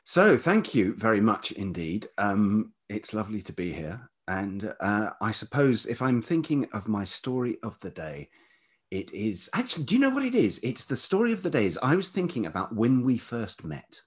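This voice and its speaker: Low, animated male podcast guest. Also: moderate pace, breathy edge. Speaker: podcast guest, writer and producer. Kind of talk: casual conversational reminiscing.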